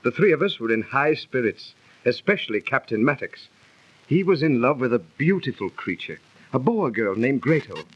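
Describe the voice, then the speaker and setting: An actor playing a gentlemanly, superstitious British army officer telling stories politely in 1930s radio style. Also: male voice, medium pitch, sing-song, normal speed. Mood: reminiscing. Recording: dull lo-fi 1930s radio recording.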